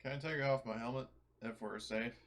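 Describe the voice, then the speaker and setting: A man's voice: medium pitch, steady tone, fairly quick. A man chatting casually as a player in a tabletop roleplaying game, asking questions about the game. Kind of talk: casual chat.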